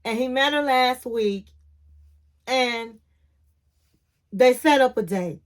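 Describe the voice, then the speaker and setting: A woman's voice: fairly high pitch, takes it slowly. A woman casually recapping a reality show, telling it like a story, acting out the quotes and calling her viewers queens. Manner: animated storyteller, acts out quotes, says "honey" and "my queens".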